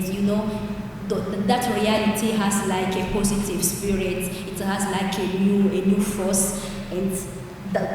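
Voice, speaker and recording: A woman whose first language is Swahili gives a personal talk at a conference, halting and searching for words with many restarts. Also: medium pitch. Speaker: conference speaker. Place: large hall. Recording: echoey hall, distant mic, noisy.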